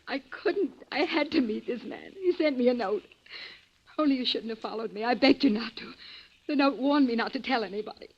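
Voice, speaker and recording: A woman's voice: on the high side, a little fast, slightly rough. An actress playing a frightened, pleading young woman in an old-time radio crime drama. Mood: shaken, pleading. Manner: pleading, breathless, emotional. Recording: muffled old radio recording.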